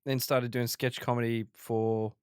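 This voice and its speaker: A man in his forties with a faint South African accent under an Australian one, telling stories in a relaxed interview, full of restarts and fillers. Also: medium pitch, a little slow, clear, resonant tone, dry recording, studio quality. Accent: faint South African, mostly Australian. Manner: rambling, many restarts and fillers. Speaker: guest comedian. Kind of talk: casual interview chat.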